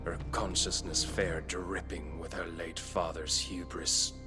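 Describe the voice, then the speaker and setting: An actor playing a cold, contemptuous godlike being in a video game, solemn and imperious. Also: male voice, low voice, flat delivery, a little slow. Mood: cold disdain. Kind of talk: dramatic video game acting. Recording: loud music behind.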